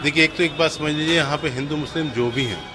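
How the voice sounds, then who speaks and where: A man's voice: a little high, fast talker, pushed and bright. A middle-aged or older man giving emphatic, explanatory interview answers, dropping in English words. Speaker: mosque committee president.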